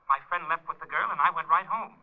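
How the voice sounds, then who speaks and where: Casting: male actor as jittery henpecked husband, evasive and halting, vintage radio acting, dull recording. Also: fairly high pitch, natural voice. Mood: nervous. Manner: hesitant, anxious, stammering. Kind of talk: old-time radio drama acting. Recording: muffled, tinny old radio recording.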